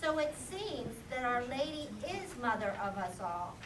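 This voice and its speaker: A woman's voice: high-pitched, sing-song, measured pace. A woman narrating and presenting a children's puppet show as a storyteller. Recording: echoey, distant, noisy live recording.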